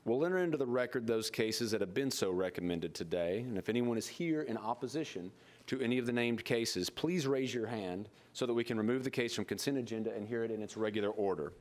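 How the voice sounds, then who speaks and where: A middle-aged man speaking formally as a board official at a public meeting, reading procedure into a podium microphone. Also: on the low side. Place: indoor meeting room.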